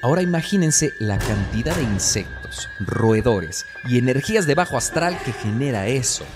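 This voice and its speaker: A man's voice: mid-range voice, sing-song, normal speed, music in the background. A Mexican man hosting a comedy podcast, talking in a conversational, humorous, slangy monologue style.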